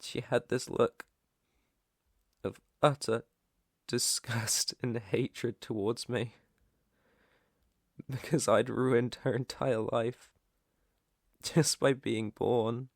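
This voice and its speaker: A British man playing an earnest, protective alpha werewolf in an ASMR roleplay, with a hesitant, stammering, soft-hearted way of talking and a creaky voice. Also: mid-range voice, fairly even intonation, takes it slowly, long pauses, dry recording, clean studio sound. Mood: sad, wounded. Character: protective young male alpha werewolf, earnest, shy outcast.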